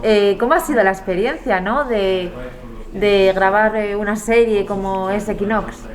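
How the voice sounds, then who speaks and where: A woman asking questions as an interviewer in a halting, conversational way. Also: mid-range voice. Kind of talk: casual festival interview questions. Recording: crowd chatter behind voice.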